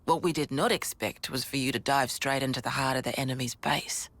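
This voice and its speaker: A woman's voice: a bass voice, a little fast, fairly bright tone, exaggerated voice. A woman playing a confident resistance leader in a game, speaking in a colloquial, wry and authoritative way. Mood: frank, wry. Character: confident, frank, easygoing female resistance leader.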